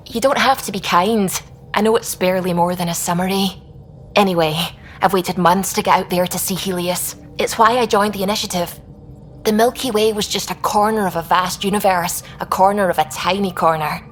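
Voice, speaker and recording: A woman's voice: medium pitch, normal speed, faint music behind, natural voice. A woman playing an earnest, self-deprecating lab scientist in a video game. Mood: awed.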